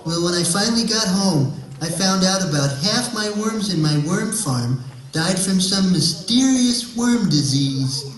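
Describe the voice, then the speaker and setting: A man's voice: sits high, expressive, measured pace. A man playing a hapless, glum young neighbor puppet in a comedy skit, hesitant and plaintive. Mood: glum, complaining. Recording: echoey room, distant mic.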